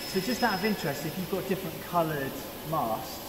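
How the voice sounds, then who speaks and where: A man in his thirties hosts a casual on-site interview, asking chatty, curious questions. His voice sits slightly high and bounces around a big echoey hall. Machine noise is clearly heard behind him. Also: animated, a little fast. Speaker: host. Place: large factory floor, indoors.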